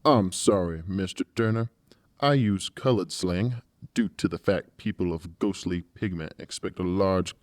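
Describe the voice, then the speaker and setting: A man's voice: sits low, darkish tone, no room sound. A man playing a large, theatrical, sadistic professional torturer in a dramatized audiobook. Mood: composed, formal. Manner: slangy, showy and taunting. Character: large, gleefully sadistic professional torturer who plays the comedian. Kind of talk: dramatized audiobook character acting.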